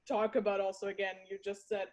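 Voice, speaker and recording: A woman's voice: mid-range voice, very animated, brisk, darkish tone, no echo. A woman interviewer builds a long question aloud, searching for her words as she goes. She has a mid-range voice and an easy, conversational delivery.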